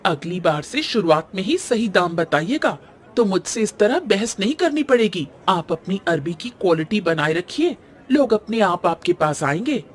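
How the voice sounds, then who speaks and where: A woman's voice: mid-range voice, big pitch swings, close to an everyday voice. A woman playing a no-nonsense market customer in a cartoon story, arguing insistently and bargaining hard. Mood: firm. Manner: brisk, argumentative, insistent. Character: firm, haggling, no-nonsense female market customer. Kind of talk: Hindi cartoon story voice acting. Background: background music.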